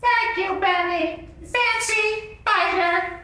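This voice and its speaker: A woman's voice: very high-pitched, slow pace. A woman voicing a formal, procedural animal puppet in a live sock puppet show. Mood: formal, diplomatic. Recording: echoey convention room, far mic, faint noise.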